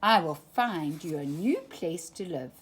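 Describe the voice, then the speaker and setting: A glum, deadpan donkey who speaks slowly and without cheer, as a woman puts him on. The voice has a husky, breathy edge. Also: deep voice, pitched below the performer's own voice, full character voice. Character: gloomy male donkey, slow and glum.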